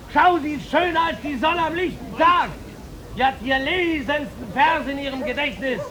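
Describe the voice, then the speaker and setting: A man's voice: very high voice, a bit bright. A man playing a florid slave-market auctioneer in a 1950s radio drama, crying out ornate sales patter. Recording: noisy 1950s radio recording.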